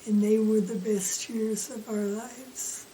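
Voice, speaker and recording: An older woman gently reminiscing about her years of service. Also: on the high side, steady tone, takes it slowly, distant mic, a little hiss or hum, poor audio.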